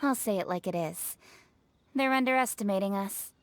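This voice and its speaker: A woman voicing a proud, candid young official in a video game, speaking briskly and formally with self-assurance. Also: medium pitch, noisy background, lightly acted. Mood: skeptical, blunt. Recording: music behind the voice.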